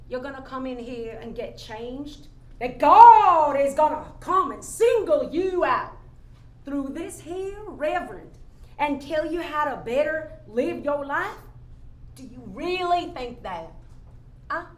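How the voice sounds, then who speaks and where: A woman's voice: sits high, animated, slow pace. An actress playing a jaded, skeptical working woman with a blunt, folksy, challenging manner in a staged play reading. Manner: folksy, challenging, pointed rhetorical questions. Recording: echoey room, faint background noise.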